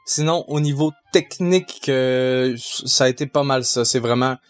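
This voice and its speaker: A young man with a Québécois French accent, a sound mixer answering fan questions, measured and hesitant with many fillers. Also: mid-range voice, faint music behind. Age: young adult. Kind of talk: casual Q&A answers.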